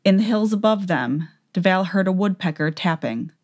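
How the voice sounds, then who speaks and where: A woman's voice: on the low side, lively intonation, normal speed, a little mellow. A woman narrator reading a fantasy tale in a scripted, dramatic audiobook style.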